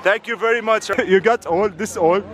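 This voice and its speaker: A young man vlogging outdoors in a busy plaza with a camera at arm's length, chatting excitably with fans and laughing often. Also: high voice, moderate pace. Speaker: travel vlogger. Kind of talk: casual vlog chat with fans. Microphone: camera held at arm's length. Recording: outdoor crowd and music noise. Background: crowd and loud music.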